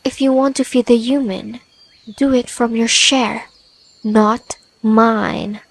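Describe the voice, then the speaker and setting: Prickly spider-woman played by a woman: slow, scornful, haughty audio-drama delivery. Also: a little high, fairly even intonation, gravelly, full character voice, rough recording. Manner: dry, scornful, bargaining. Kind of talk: ASMR audio roleplay.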